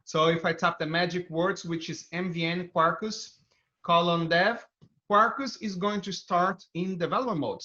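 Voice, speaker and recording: A man's voice: high-pitched, steady tone, moderate pace, sharp tone. A man with a Brazilian accent gives an upbeat, enthusiastic technical talk, walking viewers through a live coding demo. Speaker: developer advocate presenting a tech talk. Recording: dull, band-limited video stream audio.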